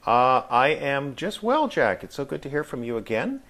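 A middle-aged man with a medium-pitched voice answers as a guest in an interview. His talk is casual and conversational. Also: very animated, moderate pace, a bit bright.

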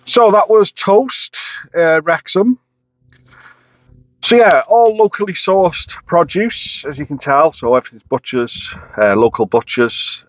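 A man's voice: on the high side, lively intonation, measured pace, dry recording. A middle-aged man casually reviewing a breakfast from inside a parked car, rambling with lots of fillers in an upbeat tone. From Wales. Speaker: food vlogger. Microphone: camera on the dashboard. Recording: slightly muffled, dry car cabin.

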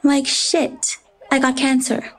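A woman playing a cheeky, foul-mouthed, precocious ten-year-old girl with a deadpan manner in a comedy audio drama. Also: high voice, slow. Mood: cheeky, blunt.

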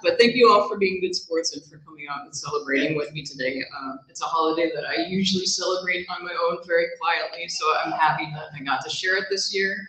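A woman in her thirties with a bright, punchy voice gives an informal talk at a library and takes questions from the audience. She explains things chattily and laughs easily. Her voice rings around the roomy meeting hall. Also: on the low side, fast, few pauses. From Wisconsin, USA. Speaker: guest speaker at a library lunch talk.

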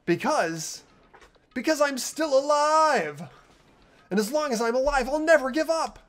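A man's voice: very high voice, lively intonation, a little slow, a bit bright, pitched above the performer's own voice, full character voice. A man voicing an earnest, determined teenage boy in a visual novel game, with emphatic delivery.

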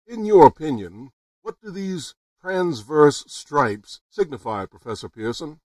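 A man's voice: on the high side, very animated, a little slow, a little mellow, no echo, far from the mic. A man playing an earnest radio news reporter in a formal, announcing manner, in the style of a 1930s radio drama. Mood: probing. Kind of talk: 1930s radio drama acting, live broadcast commentary.

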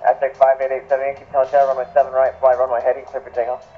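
Atc guy, flat monotone, super tinny radio, clipped instructions. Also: mid-range voice, rapid-fire, faint music behind. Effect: radio transmission. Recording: tinny narrow-band aviation radio.

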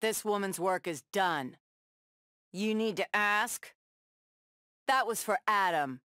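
A woman's voice: medium pitch, takes it slowly, a bit bright, no room sound, studio quality. A woman playing a tough, sassy superheroine in a video game, trading confident, defiant taunts and wry one-liners. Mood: taunting. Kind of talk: video game battle banter.

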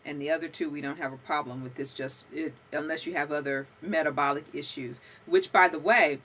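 A woman's voice: sits low, steady tone, brisk, fairly bright tone. A middle-aged woman hosting an interview over a video call, talking emphatically with many fillers. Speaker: podcast host. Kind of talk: conversational interview. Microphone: video call webcam.

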